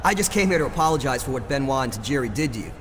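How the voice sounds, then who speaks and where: A man's voice: medium pitch, very animated, racing, slight background noise, lightly acted. A man playing an earnest, defensive wrestler in a video game, speaking in a casual, placating way. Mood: apologetic, defensive.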